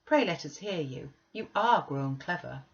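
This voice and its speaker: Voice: mid-range voice, expressive, unhurried, creaky, muffled recording. A narrator voicing a spirited, haughty teenage girl in a storybook, exclamatory and mocking. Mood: mock encouraging. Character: spirited, teasing, haughty teenage girl telling her adventures.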